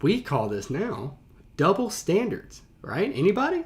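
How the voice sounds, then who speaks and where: A man's voice: high-pitched, wildly swooping pitch, a little slow. A man speaking as a teacher in casual reaction commentary, explaining things conversationally and stressing key words.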